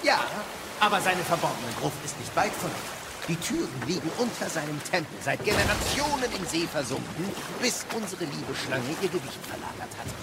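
A man's voice: on the high side, sing-song, moderate pace, heavy background noise. A man playing a chatty, wise, wry guide companion who explains things in a video game audio drama. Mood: explanatory. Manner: talkative, explanatory.

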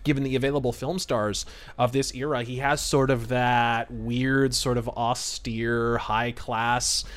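A man's voice: medium pitch, flat delivery, measured pace, a bit bright. A man hosting a podcast, giving conversational film commentary in a chatty, discursive way with frequent asides. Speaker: podcast host.

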